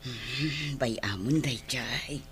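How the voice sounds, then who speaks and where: A woman playing a weary, long-suffering middle-aged mother in a Filipino radio drama, husky, with an Ilocano accent. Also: extremely low pitch, extremely slow, fairly bright tone, heavily acted. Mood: resigned, weary.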